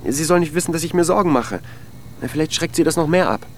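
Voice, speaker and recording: A man's voice: on the high side, lively intonation, quick pace, a little hiss or hum. An actor playing a needy, insecure, lovelorn man who dithers and pleads in a naturalistic radio drama. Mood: anxious, dithering.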